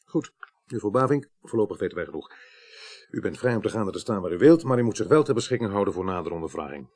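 An actor playing a businesslike, calmly sceptical police investigator in a radio drama, formal and measured in his questioning. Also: lowish voice, natural voice. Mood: probing, firm. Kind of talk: 1980s Dutch radio drama acting.

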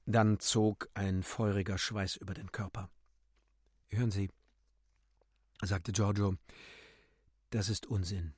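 A man narrating literary prose for a radio drama in a measured, solemn, dramatic manner. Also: fairly low pitch, fairly even intonation, a little mellow, slightly rough, no room sound.

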